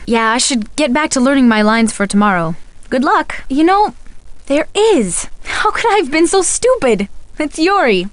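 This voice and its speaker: Woman playing an animated, high-voiced young soap actress. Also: no echo, natural voice. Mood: concerned. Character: young soap actress, friendly, emotional, earnest about her ex. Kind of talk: video game voice acting.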